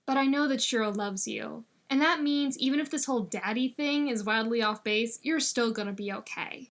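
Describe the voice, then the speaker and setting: A woman's voice: on the high side, normal speed, a bit bright. A woman voicing a laid-back, kind young male student in a story, speaking in a casual, blunt, slangy way. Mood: kind, solemn.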